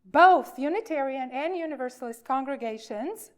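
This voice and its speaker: A woman minister giving an informal sermon for all ages, speaking in a halting, emphatic way with deliberate pauses. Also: high-pitched, animated.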